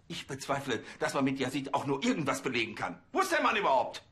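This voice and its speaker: An actor playing a flustered, officious police chief with a pompous manner in a TV crime comedy. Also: male voice, medium pitch, sing-song, quick pace, a bit bright. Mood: indignant. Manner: pompous, stammering when thrown.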